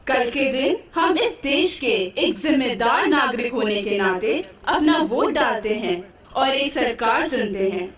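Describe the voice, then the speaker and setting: An earnest schoolteacher played by a woman explains in a didactic, deliberate way in a puppet show. Her voice is high and comes through heavy echo from off the mic. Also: expressive, fairly bright tone. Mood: instructive. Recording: very echoey hall, distant mic.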